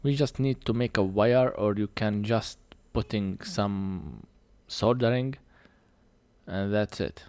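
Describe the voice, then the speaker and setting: A man who speaks English as a second language gives a hands-on repair tutorial in halting short phrases, a little short of breath. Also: lowish voice, a little mellow, smooth, dry recording. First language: not English. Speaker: phone repair technician presenting a tutorial.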